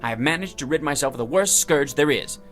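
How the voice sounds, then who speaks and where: An actor playing a fussy, lonely, self-satisfied office worker who dislikes people, in theatrical audio drama style. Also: male voice, fairly high pitch, animated, quick pace, fairly bright tone, soft background music. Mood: indignant, pompous. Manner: pompous, fussy, muttering to himself.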